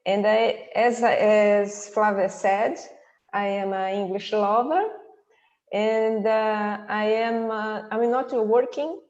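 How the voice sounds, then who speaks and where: Woman from Brazil, slow and hesitant, reverberant muffled sound. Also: mid-range voice, darkish tone. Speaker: participant. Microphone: video call. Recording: muffled call audio with long reverb.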